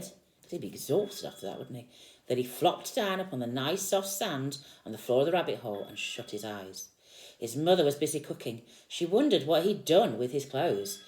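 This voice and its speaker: Woman, very deep voice, teacher-style storybook reader for young children, expressive delivery, roomy echoey sound. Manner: expressive, explaining gently to children. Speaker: teacher reading a picture book to young children. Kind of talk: animated storybook read-aloud with explanatory asides.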